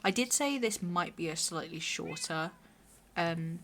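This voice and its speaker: Raspy low-voiced young woman. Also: measured pace, fairly bright tone, a little hiss or hum. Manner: chatty, rambling, swears casually. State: hoarse. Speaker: streamer. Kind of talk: casual chat while gaming.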